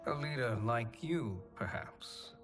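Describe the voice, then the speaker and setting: Sly, calculating sorcerer over music. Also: male voice, mid-range voice, animated, a bit bright. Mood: conspiratorial. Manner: measured, formal, calculating. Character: sly, conniving male sorcerer advising the powerful. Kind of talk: dramatic video game cutscene acting. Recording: dramatic music behind.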